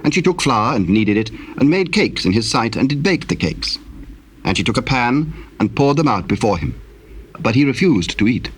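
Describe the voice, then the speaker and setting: A man narrating a dramatized Bible, telling the story solemnly in measured, archaic English. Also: mid-range voice, big pitch swings, a little hiss or hum.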